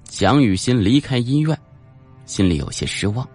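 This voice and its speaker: A man narrating a suspense novel as an audiobook, with a dramatic, deliberate storytelling delivery. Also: medium pitch, expressive, darkish tone, faint music behind.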